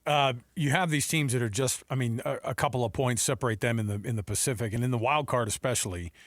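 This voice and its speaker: A man with a medium-pitched voice hosts sports radio. His delivery is casual and conversational, and the sound is dry with no room to it. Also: quick pace, no room sound.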